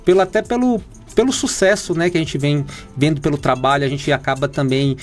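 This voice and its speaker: Brazilian man from minas gerais, bit high voice, stops and restarts a lot, faint music behind. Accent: Brazilian Portuguese, Minas Gerais. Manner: halting, many fillers and restarts. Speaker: oncologist guest. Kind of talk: explanatory interview talk.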